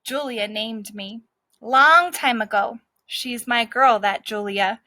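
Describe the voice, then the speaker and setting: A woman's voice: on the high side, slow pace, sharp tone, no room sound. A woman voicing a scrappy, streetwise male dog who narrates his own story in a brash, cocky way.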